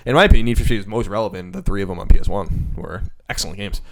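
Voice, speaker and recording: A man's voice: fairly low pitch, very fast. An American man chatting casually on a panel podcast, emphatic and opinionated, swearing freely. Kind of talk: casual opinionated group chat.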